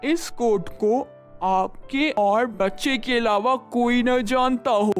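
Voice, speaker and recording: A woman voicing a grave male jinn guardian in a children's storybook, speaking slowly and with command. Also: a little high, faint music behind, pitched below the performer's own voice. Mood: stern. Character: deep-voiced male jinn, stern but kindly guardian. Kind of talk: storybook narration.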